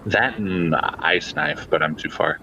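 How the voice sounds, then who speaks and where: A very deep-voiced man with a gravelly edge, talking through his spell choices at a tabletop game. His tone is bright and forceful despite the low pitch. The recording sounds dull and lo-fi. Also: measured pace, slight background noise. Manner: checks spells, hesitant fillers. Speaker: player. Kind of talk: casual tabletop game chat.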